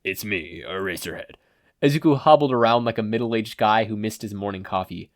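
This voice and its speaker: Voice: medium pitch, a little fast. A reader giving a scripted narration of a story in the manner of an audiobook. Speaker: podfic reader. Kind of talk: scripted narration of fan fiction, audiobook reading with character voices.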